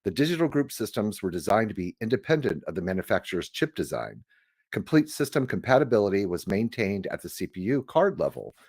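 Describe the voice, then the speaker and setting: Low-voiced man reading an audiobook. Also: sing-song, a little fast, darkish tone, slightly rough, no room sound, studio quality. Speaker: audiobook reader. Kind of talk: scripted audiobook narration.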